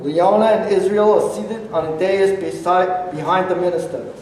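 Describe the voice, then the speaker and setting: A man's voice: sits high, moderate pace, noisy background. A man reading stage directions in a plain, matter-of-fact way, heard from some distance in a reverberant hall. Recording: echoey hall from far off, audience around.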